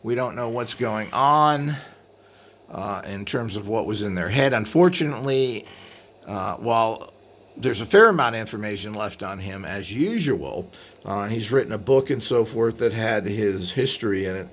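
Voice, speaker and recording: A man hosting a video with an unscripted explanatory monologue, rambling and halting as he trails into asides. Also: lowish voice, animated, fairly bright tone. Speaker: video host. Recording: clearly audible background noise.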